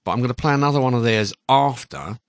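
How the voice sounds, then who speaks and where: A man's voice: medium pitch, quick pace, no echo. A man hosting a radio show, chatting casually and in a rambling, conversational way as he introduces new music, close on a studio microphone.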